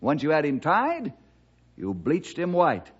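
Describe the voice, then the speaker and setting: Principled American leader, male, measured, probing, moralizing, vintage radio drama, muffled old recording. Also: a little high, wildly swooping pitch, husky, exaggerated voice. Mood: accusing. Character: steady, principled man, devout leader and investigator. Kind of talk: 1960s radio drama acting.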